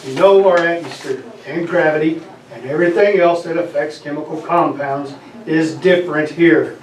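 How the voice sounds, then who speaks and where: Stern interrogating commander, man at a table read, higher male voice, distant mic, impatient and accusatory. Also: normal speed, slight background noise, natural voice. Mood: angry, threatening. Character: menacing, impatient male military commander interrogating a captive scientist. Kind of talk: amateur script table read. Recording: echoey room, distant mic, people around.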